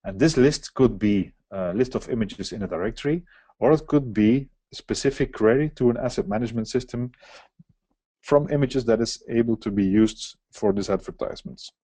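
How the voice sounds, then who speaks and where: Man for a webinar software walkthrough; explanatory, mellow tone, dry close sound. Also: mid-range voice, normal speed, no room sound. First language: Dutch. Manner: explanatory, walks through on-screen steps. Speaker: software presenter. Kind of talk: live software demo webinar.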